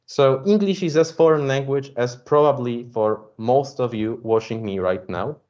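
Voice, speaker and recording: A man with a Polish accent teaches an online coding class in English, his second language, with a voice of ordinary pitch. He speaks modestly and hesitantly, filling pauses with fillers. The sound is a little dull and lo-fi, as from a computer mic. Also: a little mellow. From Poland. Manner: modest, careful simple wording. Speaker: programming instructor. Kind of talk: online tutorial talk.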